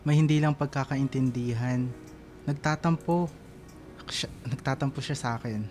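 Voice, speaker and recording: Male actor as lovesick young man, earnest, halting, pleading delivery, radio drama, faint music bed. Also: medium pitch, steady tone, a little mellow, close to an everyday voice. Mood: downcast, hesitant. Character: lovesick, anxious young man calling his best friend from abroad. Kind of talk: radio drama acting in casual Filipino talk.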